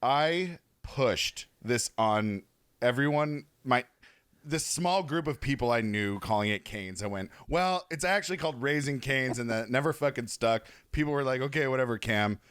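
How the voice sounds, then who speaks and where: A man's voice: medium pitch, lively intonation, normal speed, fairly bright tone, smooth, no echo, clean studio sound. A young man co-hosting a podcast, telling a rambling story in casual chat, laughing and swearing as he goes. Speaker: podcast co-host.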